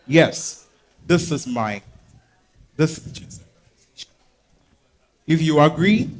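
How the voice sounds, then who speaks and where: A man playing a wealthy, smooth, self-assured businessman in a staged reading, speaking in a deliberate, courteous, direct way. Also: fairly high pitch, darkish tone, rough recording.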